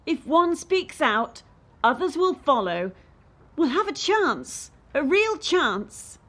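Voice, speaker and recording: An actress playing a determined, defiant young gymnast in a radio drama, earnest and insistent. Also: female voice, high voice, a bit bright, full character voice.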